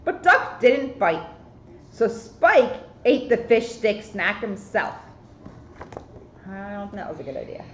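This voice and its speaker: Lady cop doing storytime, really lively voice, kinda muffled and roomy. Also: medium pitch, measured pace, long pauses. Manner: expressive, chatty. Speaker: police officer reading to children. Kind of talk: animated picture-book read-aloud with casual asides. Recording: roomy echo, muffled, faint noise.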